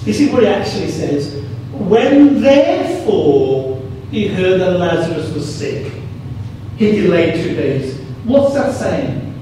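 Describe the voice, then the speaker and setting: A man's voice: high voice, warm tone. A man with a Birmingham English accent preaching a sermon, emphatic, repeating phrases and putting rhetorical questions to his congregation. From Birmingham, England. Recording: very echoey room, distant mic, clear noise.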